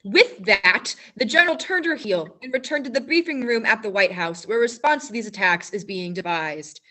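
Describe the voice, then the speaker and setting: A woman narrating a school radio play in a brisk, dramatic manner. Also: medium pitch, expressive, pushed and bright. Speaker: narrator and reporter.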